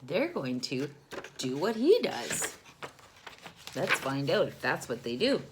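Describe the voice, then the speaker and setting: A woman reads a children's story with a very lively, swooping delivery at an unhurried pace. Her voice sits a little low, and she speaks like a teacher, stopping to question and explain things to her young audience. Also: a little hiss or hum. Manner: animated, teacherly, pauses to question listeners and explain words.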